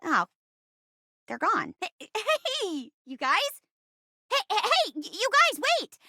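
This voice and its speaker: A woman's voice: extremely high pitch, huge pitch swings, slow pace, sharp tone, dry recording, studio quality, exaggerated voice. A woman voicing a sweet, lovestruck young bride in a video game, girlish and theatrical, with grand stage declamation. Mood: bashful, giddy. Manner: grand stage declamation, breaking into bashful chatty asides. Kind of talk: exaggerated romantic stage-play acting in a video game.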